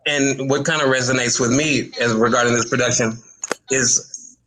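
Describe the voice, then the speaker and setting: A man's voice: mid-range voice, fairly even intonation, normal speed, sharp tone, poor audio. A man being interviewed in a casual chat, good-humoured and hesitant, thinking aloud as he goes. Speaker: talk show guest, stage play actor.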